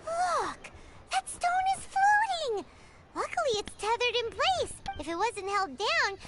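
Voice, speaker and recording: Excitable childlike mascot fairy in a video game, piercingly high and squeaky, loud music underneath. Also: female voice, measured pace, heavily acted. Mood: excited, curious. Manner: exclamatory, refers to self in third person. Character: tiny floating childlike fairy mascot, excitable, food-loving.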